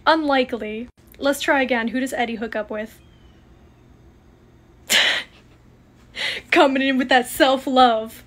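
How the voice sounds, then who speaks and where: A young woman with a high voice chats casually as she plays a reaction game. She is playful and bursts into theatrical exclamations, recorded at home on a phone. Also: steady tone, takes it slowly, pauses often, a bit bright. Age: young adult. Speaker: content creator. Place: home kitchen.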